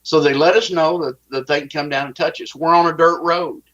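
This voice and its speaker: Man, Texas accent, interview guest, halting, repeats words, muffled recording. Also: a little high, steady tone, a bit bright. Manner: halting, repeats words when starting sentences. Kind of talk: interview testimony. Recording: dull lo-fi audio.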